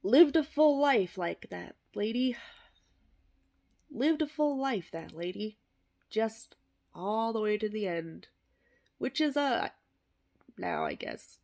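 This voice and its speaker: A woman voicing a bitter, sardonic middle-aged male horse actor, delivering a comic eulogy monologue in a deadpan, wry way. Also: mid-range voice, slow, pauses often. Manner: deadpan, self-deprecating, wry.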